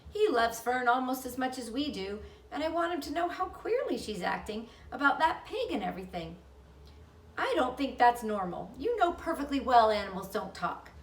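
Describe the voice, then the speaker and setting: A woman's voice: high voice, sing-song, normal speed, roomy sound, distant mic, pitched above the performer's own voice. A woman voicing a worried, proper storybook mother who questions with puzzled concern.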